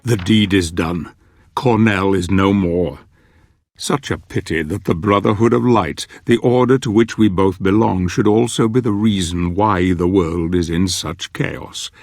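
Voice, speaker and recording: Old knight narrator, low voice, dry sound, solemn, measured, portentous, dark fantasy game. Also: male voice, dry recording. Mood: solemn regret. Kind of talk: dramatic scripted fantasy narration.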